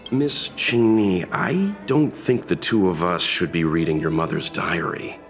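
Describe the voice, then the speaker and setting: An actor playing a principled, polite and reserved traveler in a video game dub, speaking deliberately. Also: male voice, sits low, very animated, soft background music, full character voice. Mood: reluctant, firm.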